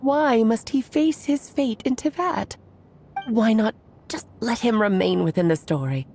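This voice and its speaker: An actress voicing Alice, a caring, playful fairy-tale mage in a game cutscene, with a gentle, concerned manner. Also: female voice, on the high side, a little slow, slight background noise. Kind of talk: story cutscene voice acting. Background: soft music.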